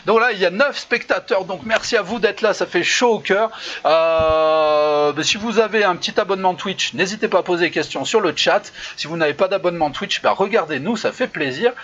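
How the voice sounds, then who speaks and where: A man's voice: sits high, brisk, a bit bright. A man hosting an online improv show over a video call, hesitant with many fillers and frequent laughs. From Lyon region. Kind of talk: casual live-stream hosting. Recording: dull lo-fi video call audio with faint noise.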